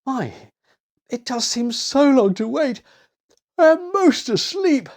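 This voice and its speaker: Man voicing an eager little boy, pitched up. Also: sing-song, slow, full character voice. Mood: sleepy, impatient. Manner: earnest, childlike.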